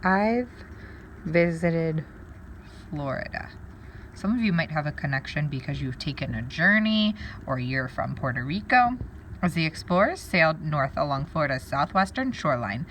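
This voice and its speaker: A woman with a slightly low voice reads a lesson aloud as a teacher. Her intonation swings widely as she wonders aloud and explains words for pupils. The recording carries some faint background noise. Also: fairly bright tone, low-quality recording. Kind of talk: read-aloud lesson with think-aloud comments.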